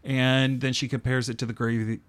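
Male co-host, easygoing chat with sudden excited spikes. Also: brisk, smooth. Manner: animated, playful, jumps up in pitch when excited. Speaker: podcast co-host. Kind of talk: casual chat reviewing a cooking show.

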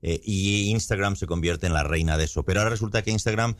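Bilingual man with a very low voice. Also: quick pace, no room sound, studio quality. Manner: bilingual, musing and joking. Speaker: co-host. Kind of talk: casual chat.

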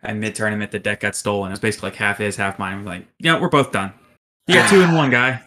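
A man's voice: fairly low pitch, fast talker, pushed and bright, slight background noise. A man in his mid-twenties hosting a podcast in a casual, teasing, bantering manner. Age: mid-20s. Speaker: podcast host. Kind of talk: casual chat.

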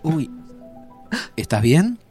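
An actor with an Argentine accent playing a nervous, well-meaning time traveller in an audio drama, stammering and excitable. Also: male voice, on the high side, extremely slow, faint music behind, exaggerated voice. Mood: hesitant, careful. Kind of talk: Spanish-language audio fiction acting.

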